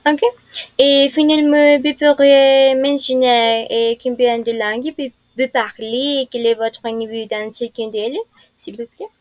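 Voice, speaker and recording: Slow-spoken woman interviewer, dry sound. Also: high voice, level tone, no room sound. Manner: slow, polite, formal questioning. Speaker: interviewer in a mock admission interview. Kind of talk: role-played job interview.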